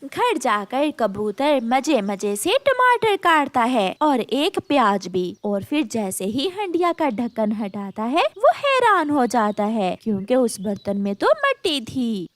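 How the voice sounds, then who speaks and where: A woman narrates a scripted children's tale at a slow pace. Her voice is high and very expressive in its rise and fall. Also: no echo.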